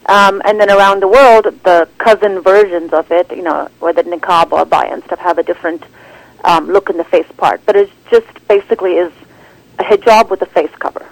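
Brief: female documentary director as guest, lively and rambling, close dry sound. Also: lowish voice, a little fast, no echo, poor audio. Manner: animated, rambling with restarts and "like". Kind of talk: conversational interview answers.

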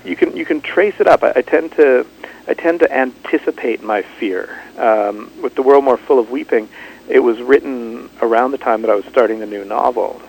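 Middle-aged man from Canada, gravelly low voice, halting restarts, faint background hiss. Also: darkish tone, poor audio. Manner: thoughtful, halting, many restarts. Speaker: author. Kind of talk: radio interview.

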